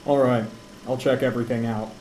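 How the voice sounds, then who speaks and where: A man's voice: medium pitch, steady tone, fairly quick, darkish tone, slight background noise, lightly acted. A man playing an eager, self-pitying small-town police detective who talks to himself in an amateur noir radio drama. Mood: businesslike, observing. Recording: roomy echo, music behind.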